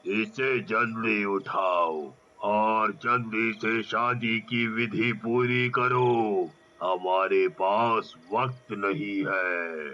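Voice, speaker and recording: A man's voice: fairly low pitch, fairly even intonation, drawn-out words, sharp tone, lo-fi sound, a little hiss or hum, exaggerated voice. A man voicing an impatient, commanding male ghost in a horror cartoon. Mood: urgent, commanding. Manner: urgent, commanding. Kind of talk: Hindi horror cartoon voice acting.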